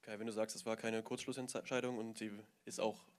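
A man's voice: fairly low pitch, level tone, a little fast. A man as a journalist, asking probing questions at a press conference.

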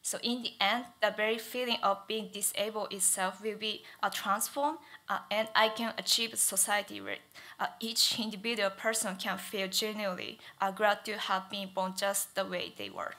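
Young woman presenting with careful, halting speech. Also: medium pitch, pushed and bright. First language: not English. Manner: stutters, careful with frequent fillers. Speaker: student presenter. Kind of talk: thesis presentation talk. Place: auditorium stage at lectern. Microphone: lectern mic.

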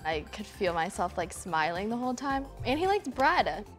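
A woman's voice: mid-range voice, sing-song, moderate pace. A young American woman in her twenties chatting casually and giggly as a dating show contestant. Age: 20s. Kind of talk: casual chat. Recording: music behind the voice.

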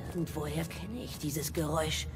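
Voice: medium pitch, normal speed, over loud music, close to an everyday voice. A performer voicing a small, nimble, fearless and eager kender scout. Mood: curious, eager.

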